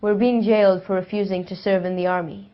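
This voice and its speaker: A woman's voice: medium pitch, brisk. Earnest teen girl, muffled. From Israel. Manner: calm, earnest statements. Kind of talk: scripted appeal to camera.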